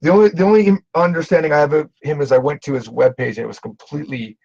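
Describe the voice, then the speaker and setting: A middle-aged man with a gravelly, husky voice talks over a video call in a loose, animated way, laughing at himself. The sound is muffled and lo-fi, like a streamed call. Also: a little high, fast talker. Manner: animated, self-deprecating, swears casually. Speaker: Japanese teacher and show host. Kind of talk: casual livestream conversation.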